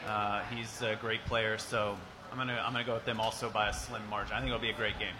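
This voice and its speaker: A young adult man on a casual podcast panel, talking in a halting way and often starting over. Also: on the low side, flat delivery, crowd noise.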